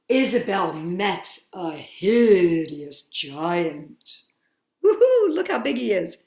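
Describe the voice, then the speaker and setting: A woman hosting a children's storytime, reading a picture book aloud in an expressive, sing-song way with dramatic pauses. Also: medium pitch. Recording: roomy echo.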